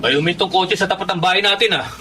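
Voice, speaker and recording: A man's voice: sits high, quick pace, very noisy, natural voice. A man playing a nosy young son of the household in a Filipino radio drama. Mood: curious.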